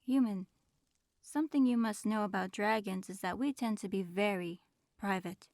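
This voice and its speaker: A woman playing a proud, reserved dragon in human form, speaking formally and with measured aloofness in an audio roleplay. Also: mid-range voice, a little mellow, no room sound, studio quality, lightly acted. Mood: aloof, reserved. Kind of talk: audio roleplay acting, addressing the listener.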